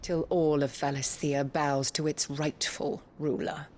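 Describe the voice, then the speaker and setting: A scheming imperial noblewoman. She is haughty and venomous, speaking with formal, condescending deliberation as in a dramatic game cutscene. A faint rumble sits underneath. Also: little pitch movement, unhurried, darkish tone. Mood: coldly commanding.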